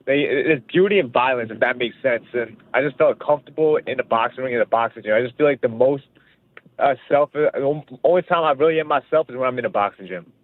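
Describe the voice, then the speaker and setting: A man's voice: medium pitch, fast, dry recording. A young man from Pakistan, a boxer being interviewed over the phone, talking casually and confidently and often repeating himself. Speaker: boxer guest. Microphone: phone line. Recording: phone-like narrow line, dry.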